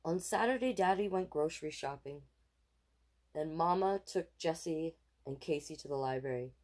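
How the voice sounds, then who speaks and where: A woman reading a children's picture book aloud in an expressive, animated way, with casual asides. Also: sits low, moderate pace. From Canada. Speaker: storytime reader.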